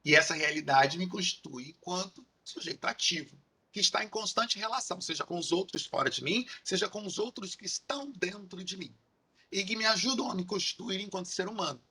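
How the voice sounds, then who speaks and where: Carioca male lecturer, bright and husky, explanatory, repetitive lists, tag questions. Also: sits high, brisk. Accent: Carioca Portuguese. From Rio de Janeiro, Brazil. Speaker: university lecturer. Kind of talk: recorded online lecture.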